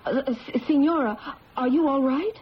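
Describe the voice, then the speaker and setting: An actress playing an anxious, polite married woman with an American accent, speaking in a halting, earnest way in a radio drama. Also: high-pitched, close to an everyday voice. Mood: alarmed, protesting. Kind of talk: 1970s radio drama acting. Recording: muffled, noisy old radio broadcast.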